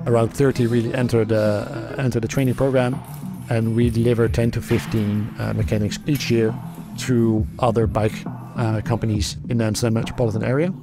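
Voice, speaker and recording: A man's voice: medium pitch, level tone, brisk, music in the background. A middle-aged man from the Netherlands with a Dutch accent, speaking as a company founder in a documentary interview, thoughtful and often restarting his sentences. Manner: thoughtful, many restarts and fillers.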